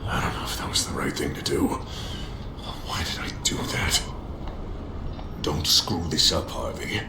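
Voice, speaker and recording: Deep, vengeful male politician. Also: unhurried, gravelly, background noise, full character voice. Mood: conflicted. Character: unstable, vengeful male politician, stern and volatile. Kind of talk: dramatic video game acting. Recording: roomy echo, music underneath.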